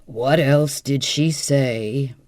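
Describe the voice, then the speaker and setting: A woman's voice: very deep voice, very slow, a little mellow, no echo, heavily acted. A woman voicing a good-natured, cheerful husband who teases mildly. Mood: wry. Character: good-natured, amused middle-aged husband.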